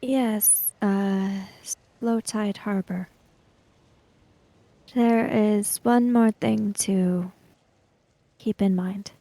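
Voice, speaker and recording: A woman playing a tabletop roleplaying game, speaking in character with careful, formal, halting phrases. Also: medium pitch, fairly even intonation, dark tone, dry recording.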